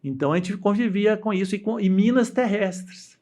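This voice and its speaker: A middle-aged man from Brazil with a Brazilian Portuguese accent, telling stories as an interview guest in a halting, restart-heavy way, voicing the people he quotes. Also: sits high, a little mellow. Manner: halting, with many restarts and fillers; acts out quoted voices while telling stories. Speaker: interview guest, dam engineer. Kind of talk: casual storytelling interview.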